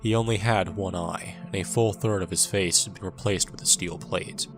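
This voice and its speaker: Deep-voiced, bitter young soldier. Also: male voice, steady tone, moderate pace, over music. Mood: subdued. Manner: somber, wry. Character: bitter wounded young male soldier recounting his war. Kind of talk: first-person audio drama narration.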